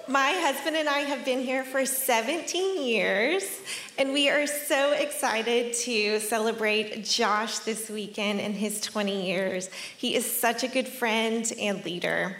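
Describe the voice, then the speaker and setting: A woman welcoming the congregation and reading scripture in a church, friendly and deliberate. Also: a little high, fairly bright tone. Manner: friendly, reads scripture slowly. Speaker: scripture reader. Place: church sanctuary. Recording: roomy church sound with faint noise.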